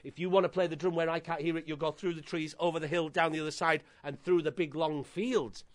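A man's voice: a little high, fairly even intonation, a little fast, natural voice. Man putting on a horrible cackling old aunt. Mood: scolding. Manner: scolding, repetitive demands. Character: horrible old village aunt, bossy, grudging, drum-hating.

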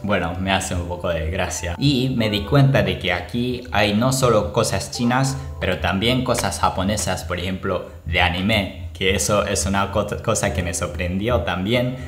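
Deep-voiced young man with a Japanese accent. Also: normal speed, hardly any pauses, a bit bright. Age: young adult. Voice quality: non-native Spanish with small grammar slips. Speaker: vlogger. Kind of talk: casual talk to camera. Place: small plain indoor room. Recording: roomy echo. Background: music.

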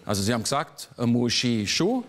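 A man in his forties performing a political cabaret monologue on a theater stage, sardonic, landing dry punchlines with sudden jumps in pitch. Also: sing-song, fairly quick, background noise. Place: large theater stage before a live audience.

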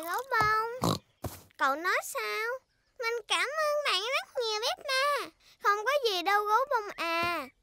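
A performer voicing a cheerful, bossy little girl piglet in a children's cartoon dub, childlike and expressive. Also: very high-pitched, background noise, heavily acted.

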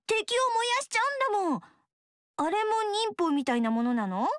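A bubbly young ninja woman, sweet and eager, who looks after her people like a mother. Her voice is very high and bounces all over. The game studio recording is dry. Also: big pitch swings, a little fast, dry recording. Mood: cheerful. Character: cheerful young female ninja, protective mother type.

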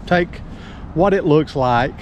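Slow-talking man outdoors. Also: on the high side. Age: middle-aged. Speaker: lawn care worker vlogging. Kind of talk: casual rambling walk-and-talk vlog. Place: outdoors in a hotel parking lot and slopes. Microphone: action camera held at arm's length. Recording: noisy outdoor recording with traffic.

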